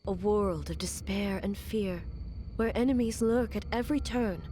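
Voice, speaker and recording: A woman voicing a composed, commanding female deity in an anime game, speaking formally and gravely. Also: medium pitch, normal speed, mellow, a little hiss or hum, natural voice.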